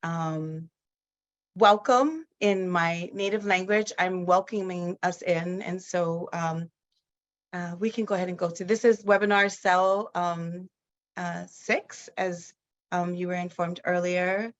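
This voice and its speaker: A woman from Hawaii presenting a webinar, speaking slowly with many pauses and fillers. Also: on the low side, fairly even intonation. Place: at home in Hawaii. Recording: dull lo-fi video call audio.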